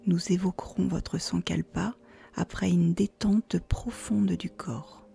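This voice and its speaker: A woman leads a guided relaxation in a soft, near-whispered voice. Her delivery is very slow and calm, with a mellow tone and a creaky edge. She gives soothing instructions with unhurried pauses. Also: fairly low pitch. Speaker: yoga nidra guide. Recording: faint music behind the voice.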